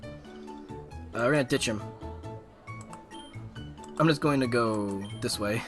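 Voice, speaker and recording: A man live-streaming a game, giving excitable, casual, slangy commentary and reading on-screen text aloud. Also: mid-range voice, slow pace, over music.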